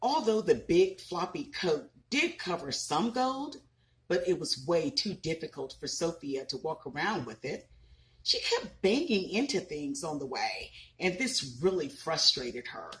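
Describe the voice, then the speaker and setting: A woman in her thirties reads a children's storybook aloud in a lower voice that rises and falls a great deal. She takes her time, breaking off to ask the listeners questions. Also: measured pace, a little hiss or hum, rough recording. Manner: expressive, asks listeners questions. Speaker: parent reading to children. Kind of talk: reading a picture book aloud with asides.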